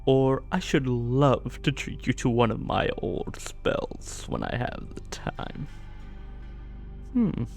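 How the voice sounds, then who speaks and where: A man playing a menacing evil sorcerer in a fantasy ASMR roleplay, falsely gentle and condescending, with soft-spoken threats. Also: mid-range voice, expressive, creaky, soft background music. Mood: calm menace. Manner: slow, silky, condescending, softly threatening.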